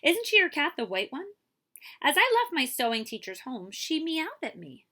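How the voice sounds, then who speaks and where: A woman's voice: sits high, very animated, normal speed, bright, forceful tone, voice pushed up, heavily acted. A woman voicing an elegant, amused young lady in a storybook, light and exclaiming. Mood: amused, delighted.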